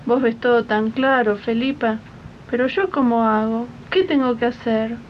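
A woman's voice: normal speed, slight background noise, lightly acted. A woman playing a timid, worried young maid in a 1980s community radio drama, meek and hesitant, with an Argentine accent. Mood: anxious, resigned. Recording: muffled, rumbly 1980s archive radio recording.

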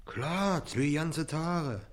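Lively, sly Berlin boatman. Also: a little high, extremely slow. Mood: folksy, matter-of-fact. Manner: plain, folksy, evasive. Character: sly, stubborn working-class boatman playing innocent. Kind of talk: radio play dialect comedy acting.

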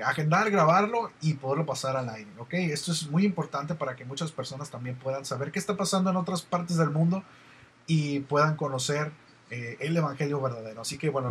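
A man's voice: a little high, a bit bright, a little hiss or hum. A man with a Mexican accent hosting an online radio show, chatty and meandering as he reads listener messages. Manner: chatty, meandering, many fillers. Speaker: radio host.

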